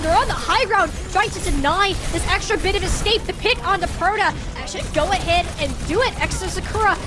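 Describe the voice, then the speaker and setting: High-pitched woman casting esports. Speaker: esports commentator. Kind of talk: live esports commentary and analysis.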